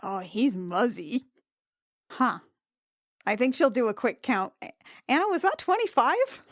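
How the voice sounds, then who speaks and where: A woman with a slightly high voice plays a tabletop role-playing game, recorded close and dry. She chats and improvises casually, with a wry, sweary manner. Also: very animated, measured pace, no room sound.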